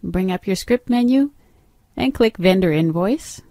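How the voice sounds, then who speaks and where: Woman narrating a software tutorial, dry close sound. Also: mid-range voice, expressive, a little slow, no room sound. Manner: step-by-step, instructive. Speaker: software trainer. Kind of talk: instructional screen demo narration.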